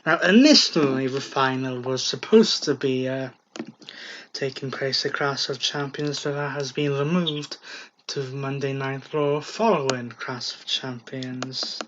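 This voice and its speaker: A man co-hosting a casual wrestling talk show, talking slowly with fillers and laughs. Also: mid-range voice, steady tone, a bit bright, a little hiss or hum. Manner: slow, hesitant, with fillers and laughs. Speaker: podcast co-host. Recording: dull lo-fi home recording.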